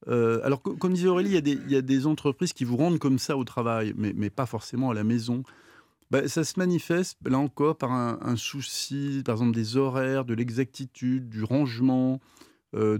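A man speaking as an expert guest on a radio talk show, halting, with many fillers and restarts. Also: mid-range voice, creaky, a little mellow, dry recording, clean studio sound.

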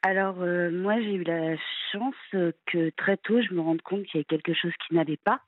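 A woman in her fifties from France calling a late-night radio show, halting and hesitant with many fillers, heard over a phone line. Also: lowish voice, no echo. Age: 50s. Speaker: caller.

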